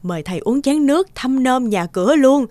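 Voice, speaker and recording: A woman's voice: sits high, lively intonation, fairly quick, mellow, dry recording. A woman voicing a hearty, insistent and grateful village housewife in a folk-tale cartoon. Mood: grateful, hospitable.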